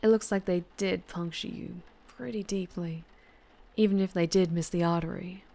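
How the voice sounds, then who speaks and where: A woman playing a flirtatious, protective vampire biker in an ASMR roleplay, with a Southern US accent and a soft, intimate delivery that slips into whispers. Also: fairly low pitch, steady tone, normal speed. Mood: caring, serious. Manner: soft, intimate, often dropping into breathy whispers.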